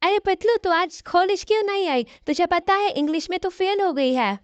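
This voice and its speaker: A woman plays a young cartoon college girl with a very high voice. She is blunt and nagging. The recording is dry and a little dull. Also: normal speed, no room sound. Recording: dull, lo-fi audio.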